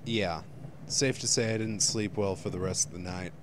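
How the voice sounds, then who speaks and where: A man's voice: deep voice, steady tone, normal speed, background noise, natural voice. A man playing a dry, practical, slightly impatient young man in an amateur radio play. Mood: uneasy, recounting.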